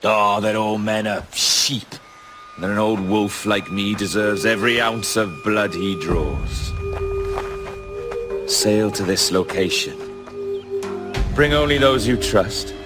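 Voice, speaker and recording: A man playing a cunning, middle-aged pirate captain in a video game, with a Welsh accent and a measured, commanding, theatrical manner. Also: fairly low pitch. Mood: philosophical, sly. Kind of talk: dramatic game cutscene acting. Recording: loud music behind the voice.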